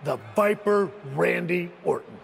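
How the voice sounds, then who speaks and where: An actor playing a scheming, condescending wrestling faction leader in a game cutscene, speaking in a measured, stern, gravelly way. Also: male voice, high-pitched, heavy background noise, full character voice. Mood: scornful lecturing.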